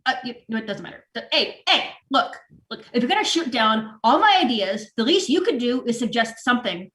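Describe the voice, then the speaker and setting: A woman playing a disorganized, defensive store manager with a halting manner in a comedy table read. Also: on the high side, big pitch swings, a bit bright. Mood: flustered, defensive. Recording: roomy, echoing home recording.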